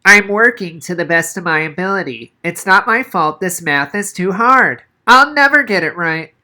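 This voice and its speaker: A man's voice: high-pitched, animated, normal speed, pushed and bright, voice pushed up, exaggerated voice. A man voicing a whiny, pedantic, scrawny schoolboy genius in an audiobook story. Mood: pleading.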